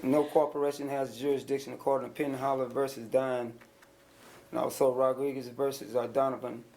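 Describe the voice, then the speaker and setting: Insistent man objecting in flat tones. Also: mid-range voice, a little fast. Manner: insistent, repetitive, interrupting. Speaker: defendant at an arraignment. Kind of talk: objecting in a court hearing. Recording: noisy courtroom recording.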